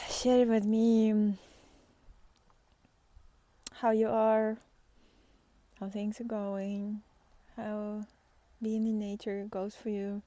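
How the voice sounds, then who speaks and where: A woman giving an intimate, spontaneous spiritual talk as a guide, halting and tender, sometimes softening toward a whisper. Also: mid-range voice, little pitch movement, no echo. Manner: tender, halting, with long pauses, sighs and soft laughs. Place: outdoors in nature, walking by foggy fields.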